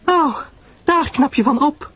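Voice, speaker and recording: A man's voice: very high-pitched, slow pace, a bit bright, highs cut off, pitched above the performer's own voice, exaggerated voice. A man voicing a glamorous, theatrical married woman who sighs and gasps in an audio drama. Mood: languid relief. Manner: theatrical, sighing, gasping. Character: breathy swooning glamorous wife, poisoned and gasping.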